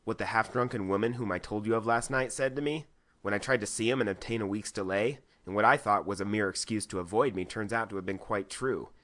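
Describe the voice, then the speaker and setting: A man voicing an honest, earnest husband in a dramatised storybook reading, with a measured, formal delivery. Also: fairly low pitch, a bit bright, lightly acted. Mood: grave. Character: relieved, honest indebted husband.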